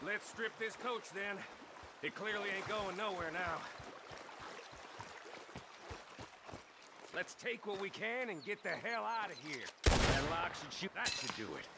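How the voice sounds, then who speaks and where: Brash, high-pitched outlaw gunman. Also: expressive, moderate pace, creaky, noisy background. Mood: cocky, laid-back. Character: brash trigger-happy outlaw gunman, cocky and gleeful. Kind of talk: video game cutscene acting.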